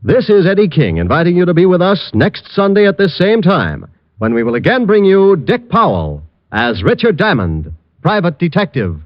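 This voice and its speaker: A man's voice: sits high, big pitch swings, normal speed. A man announcing network programs in a polished, emphatic vintage radio promotional style. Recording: thin, phone-like old radio recording.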